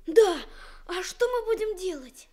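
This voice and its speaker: A woman's voice: extremely high pitch, animated, unhurried, darkish tone. A woman voicing an earnest, wide-eyed teenage boy, the younger brother, in an old Soviet radio play. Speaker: radio drama actor.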